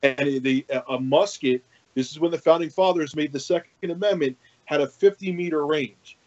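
A man in a casual political panel discussion who talks haltingly, repeats words and swears freely. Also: fairly high pitch, a little mellow, highs cut off. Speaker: podcast panelist.

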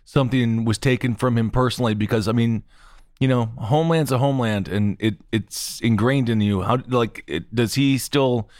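A man's voice: medium pitch, moderate pace, a little mellow, no echo, studio quality. A male host in a casual interview, easygoing and joking, asking long questions.

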